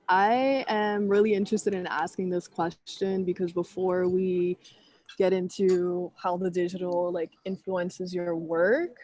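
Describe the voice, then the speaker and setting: A woman moderating a virtual panel over a video call, asking her guests questions in a measured, thoughtful way. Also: medium pitch, darkish tone, no echo, poor audio.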